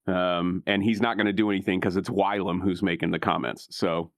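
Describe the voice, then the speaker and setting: A man with a fairly low voice chats casually at a game table and swears freely. The recording is very dry and close, without room sound. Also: flat delivery, fairly quick, no echo.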